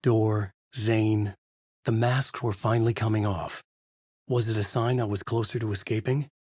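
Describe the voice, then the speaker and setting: An actor playing a brooding, haunted male writer, narrating his dark story in a measured, dramatic, intense way with a husky voice. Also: on the low side, steady tone, no echo, studio quality. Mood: brooding, grave. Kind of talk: scripted first-person noir narration.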